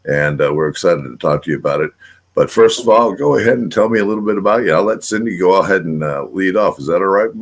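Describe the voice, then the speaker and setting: A man's voice: deep voice, fast. An older man hosting a casual radio show, rambling and full of filler words and restarts. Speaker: host.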